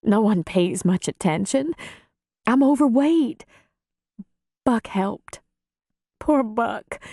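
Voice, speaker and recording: A woman narrator plays a weary, distraught rural grandmother in an audiobook. Her voice is high and mellow, trembling and wailing as she pleads in halting, plain country phrases, recorded very dry and close. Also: lively intonation, no room sound, exaggerated voice. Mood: troubled, pleading. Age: middle-aged. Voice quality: quavering, wailing voice. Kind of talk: audiobook character dialogue.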